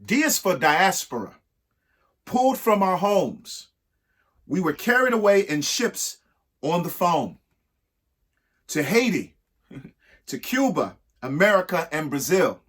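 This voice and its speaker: A husky-voiced man reading a rhyming picture book aloud expressively, emphatic, with pauses between short phrases. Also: sits high, sing-song, fairly bright tone. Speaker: reader of a children's picture book. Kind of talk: expressive read-aloud of a rhyming alphabet book with spoken asides.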